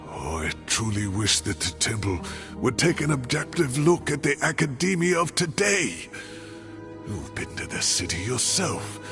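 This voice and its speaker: Older professor for a game: creaky, unhurried, earnest, expressive, music behind. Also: male voice, on the high side, exaggerated voice. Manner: slow, pleading, reasoning. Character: older male scholar, earnest and conciliatory. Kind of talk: video game voice acting.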